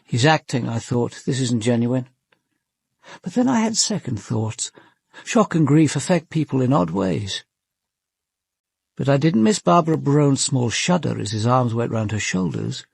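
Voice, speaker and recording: An English police commander in a radio murder mystery, observant and formal. His voice is a little gravelly and rough-edged, with lively shifts of pitch, and he speaks in a measured, reflective way. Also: no echo, heavily acted. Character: composed, observant male police commander narrating a murder case. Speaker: detective narrator. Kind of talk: British radio drama narration and dialogue.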